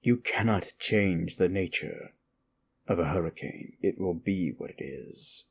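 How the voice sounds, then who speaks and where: An ancient, wicked demon, acted by a man, speaks straight to the listener in an intimate ASMR roleplay. The voice is very low and full of gravelly fry. Each phrase comes slowly and deliberately, brooding and theatrical, with contempt and a trace of inner conflict. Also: full character voice. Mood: cold, contemptuous. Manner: theatrical, brooding, slow and deliberate with dramatic pauses. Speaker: audio roleplay performer.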